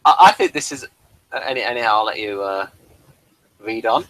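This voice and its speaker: A man hosting a casual review chat, talking in a halting way and repeating words as he goes. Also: lowish voice, a bit bright, dry recording, low-quality recording. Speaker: host of a TV review show.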